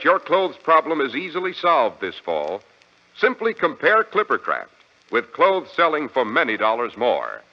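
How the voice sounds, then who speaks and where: An American man announcing and hosting in 1940s radio style, with a polished, emphatic sales delivery. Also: mid-range voice. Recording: dull, lo-fi old radio recording.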